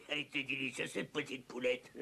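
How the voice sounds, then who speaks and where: An actor playing a sly, hungry big bad wolf in a cartoon, gravelly and theatrical, wheedling and blustering. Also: male voice, high voice, heavily acted. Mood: gloating, hungry. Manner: theatrical, mock-polite wheedling turning to threats. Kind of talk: exaggerated cartoon dub acting. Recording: music behind the voice.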